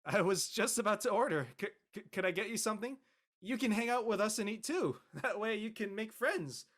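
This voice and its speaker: Man playing a flustered, animated teenage boy. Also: sits high, a little fast. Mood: nervous, eager. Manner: stammering, nervous. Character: flustered, awkward teenage boy, easily embarrassed. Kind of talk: audio drama acting, casual dialogue.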